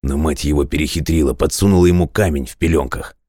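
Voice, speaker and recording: Mighty ageless god for a storybook played by a man: extremely low, weighty, authoritative, dry sound. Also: dark tone, dry recording. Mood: confiding, proud. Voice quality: very deep, resonant, clearly enunciated. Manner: weighty, commanding, deliberate. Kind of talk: audio fairy-tale character acting.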